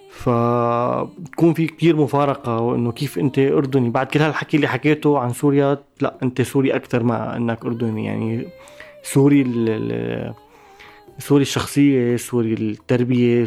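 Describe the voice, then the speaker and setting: A man with a Syrian Arabic accent, a musician giving a thoughtful interview, pausing and restarting mid-phrase. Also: mid-range voice, fairly even intonation, vocal fry, a little mellow. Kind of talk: reflective interview talk.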